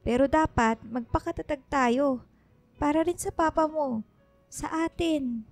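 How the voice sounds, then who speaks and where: A woman's voice: high-pitched, a little slow, faint music behind. An actress playing a loving, worried mother in a Filipino radio drama, speaking in a pleading, soothing, emotional way with a Filipino accent. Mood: comforting. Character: loving, worried mother urging her grieving daughter to stay strong.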